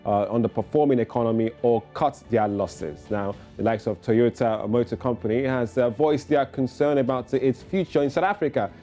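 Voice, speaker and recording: A man's voice: mid-range voice, normal speed, few pauses, mellow, soft background music. A man anchoring a morning news show, presenting with many fillers and hesitations.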